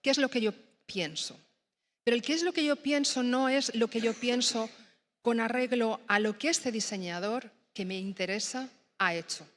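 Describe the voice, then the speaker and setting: A woman whose first language is Spanish, a design teacher speaking on a summit panel in a thoughtful, halting way with many fillers. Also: fairly high pitch, fairly bright tone.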